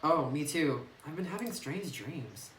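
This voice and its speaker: A man voicing a gentle, wistful young woman in a visual novel, reading her lines politely over a phone microphone. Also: on the high side, big pitch swings, a little slow. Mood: wistful, curious. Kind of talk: read-aloud visual novel dialogue. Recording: roomy, noisy distant phone microphone.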